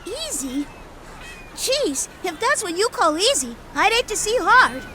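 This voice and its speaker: Game sidekick: nervous small boy, extremely high, animated, fretful, running water in the background. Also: unhurried, a little hiss or hum, exaggerated voice. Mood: relieved, exasperated. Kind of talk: animated video game cutscene acting.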